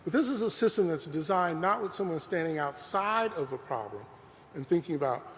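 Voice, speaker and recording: A man's voice: on the high side, animated, brisk, a little hiss or hum. Man lecturing in a reverberant hall. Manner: earnest, fills with "you know". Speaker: lecturer presenting a talk. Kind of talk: conversational public talk. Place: large museum hall.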